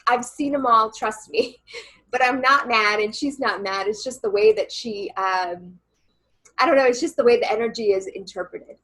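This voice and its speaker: A woman channeler and coach with a loud voice, earnestly talking a listener through a writing meditation in a casual interview over a video call. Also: on the high side, moderate pace. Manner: earnest and reassuring, many fillers.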